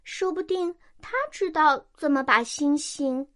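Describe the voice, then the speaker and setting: Woman acting young child, extremely high voice, piercingly bright, inquisitive, anxious, radio drama. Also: unhurried. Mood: eager. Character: anxious, curious young child pleading with father. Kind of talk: Chinese science-fiction radio drama acting.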